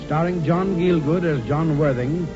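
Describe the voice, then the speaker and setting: A man's voice: on the high side, a little slow. Formal 1940s radio announcer, man. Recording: muffled 1940s radio broadcast. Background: music.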